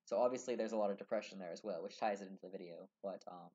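A man's voice: sits low, very fast, dark tone. A young man vlogging to camera from a small room, talking earnestly and rambling with many fillers. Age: young adult. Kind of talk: casual talk to camera. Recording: dull lo-fi webcam audio.

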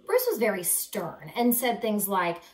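A woman in her thirties reading a children's picture book aloud with dramatic, deliberate emphasis, in a living room. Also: mid-range voice, wildly swooping pitch. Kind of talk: expressive children's read-aloud. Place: small living room, indoors. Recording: roomy, echoey indoor sound.

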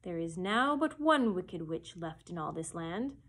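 Woman doing Oz, a booming wizard head. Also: animated, exaggerated voice. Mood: commanding. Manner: commanding, loud and slow. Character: booming giant head of a great male wizard.

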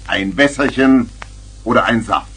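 An actor playing a jovial, tippling old uncle who tells tall tales theatrically in a 1970s children's radio play. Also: male voice, mid-range voice, measured pace, fairly bright tone, faint music behind, natural voice, rough recording. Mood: mysterious, jovial.

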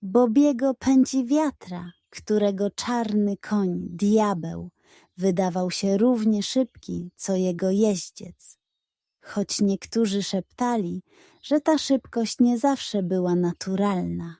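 A woman narrating a scripted children's bedtime story in an expressive read-aloud style. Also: medium pitch, slow pace, no echo, clean studio sound.

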